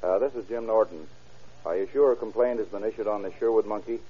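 An actor playing a tough, slangy private investigator who questions in a clipped way, in 1940s radio crime drama style. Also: male voice, on the low side, fast talker, breathy edge. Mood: brisk, businesslike. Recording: dull old radio drama recording.

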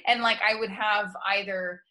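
A woman's voice: medium pitch, steady tone, normal speed, sharp tone, low-quality recording. A woman hosting a casual chat show, talking frankly and rambling with many restarts. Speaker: host and comedian.

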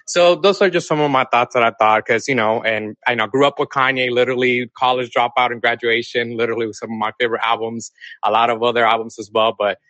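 A man's voice: medium pitch, steady tone, fast talker, bright, forceful tone, no room sound. A man from the Dominican Republic calling in to a talk show, speaking casually with many fillers and restarts. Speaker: caller.

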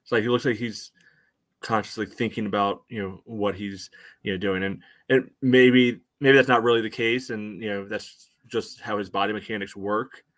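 Male co-host with a lowish voice, dry recording, conversational soccer breakdown. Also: steady tone, normal speed, fairly bright tone, dry recording. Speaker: sports podcast co-host. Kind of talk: casual soccer match analysis chat.

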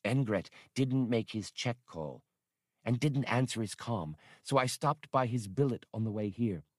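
A man's voice: medium pitch, expressive, measured pace, darkish tone, dry recording, studio quality, pitched above the performer's own voice. A man voicing a proud, suspicious feline alien mother in an audiobook, speaking in an urgent, demanding way. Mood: agitated.

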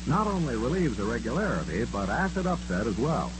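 A man's voice: medium pitch, big pitch swings, a little fast. A man announcing a 1940s-style radio commercial with formal, emphatic salesmanship. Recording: noisy old radio recording with hum.

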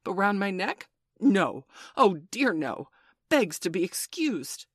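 A woman's voice: low voice, brisk, no echo, studio quality, lightly acted. A woman voicing a blustering, sarcastic middle-class father in a storybook, with a pompous, emphatic, theatrical delivery. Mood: dangerously sarcastic. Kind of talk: storybook character voice.

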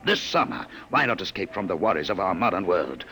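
A man's voice: deep voice, animated, brisk, pushed and bright, heavily acted. A man playing a suave, menacing government searcher who poses as a genial stranger, mock-polite and insinuating, in old-time radio drama style. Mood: smoothly teasing. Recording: vintage radio recording, faint noise.

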